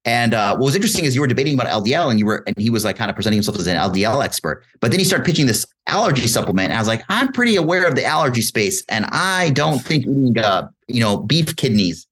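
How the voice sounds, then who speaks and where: A man's voice: mid-range voice, sing-song, quick pace, few pauses, a bit bright, husky. A young man, a guest doctor, explaining things in a casual interview.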